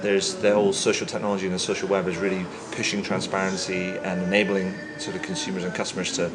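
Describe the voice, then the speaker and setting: A man's voice: low voice, level tone, music in the background. A man hosting an interview podcast, friendly and chatty, asking loose, rambling questions. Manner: friendly, chatty, quick to joke and laugh. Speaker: podcast host. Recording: noisy room.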